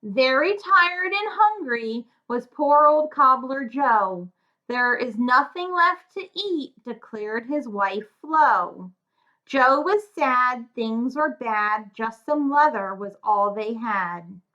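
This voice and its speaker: A woman reads a children's tale in a high, bright voice. She takes it slowly and with a sing-song lilt, speaking directly to young listeners like a tutor. The recording is a little muffled. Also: lively intonation. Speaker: children's story reader and tutor. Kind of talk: read-aloud of a rhyming children's fairy tale.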